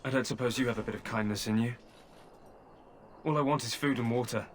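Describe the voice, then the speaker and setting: A hungry deserter in a cage, played by a man wheedles for food in a wry, cheeky manner. The voice is ordinary and mid-pitched, with a flirtatious edge. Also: fairly quick, natural voice. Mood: wheedling. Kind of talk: video game voice acting.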